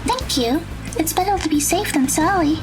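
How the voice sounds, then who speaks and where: A woman playing a gentle, earnest and eager teenage girl in an audio drama. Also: extremely high pitch, expressive, moderate pace, over music.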